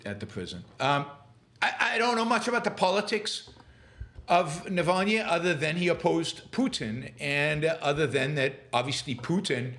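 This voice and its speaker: A middle-aged man hosting a political commentary show, speaking in a halting way with many fillers and restarts, and turning scornful and sarcastic. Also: fairly high pitch, lively intonation, a bit bright. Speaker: podcast host.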